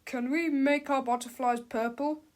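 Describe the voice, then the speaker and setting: A woman's voice: high voice, fairly even intonation, measured pace. A woman voicing young schoolchildren in a storybook, with an exaggerated childlike manner. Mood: asking.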